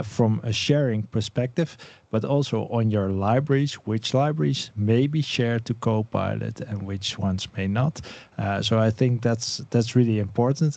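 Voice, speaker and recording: Dutch-born guest, man; mellow and relaxed, on dry, dull call audio. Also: medium pitch, no echo. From Netherlands. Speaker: Microsoft 365 specialist guest. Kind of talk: casual online panel talk.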